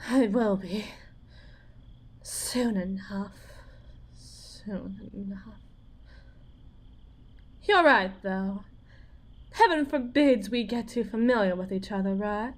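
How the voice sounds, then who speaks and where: Slow, high-voiced, wry, desperate farm wife played by a woman. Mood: shaken, pleading. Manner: folksy, sardonic, theatrical, halting. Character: desperate, wry, wounded pregnant farm wife bargaining with demon. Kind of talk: ASMR dramatic roleplay monologue.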